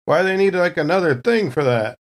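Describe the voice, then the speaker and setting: A man with a lively, swooping pitch plays in a tabletop role-playing game. He improvises in character, flustered, repeating and stumbling over his words. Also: fast talker. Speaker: player in a tabletop game podcast.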